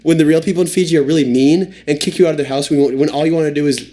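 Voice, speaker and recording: Young man, podcast co-host; quick, excitable delivery. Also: a little high. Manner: excitable, tells absurd mock-serious tales. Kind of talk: comedic storytelling chat.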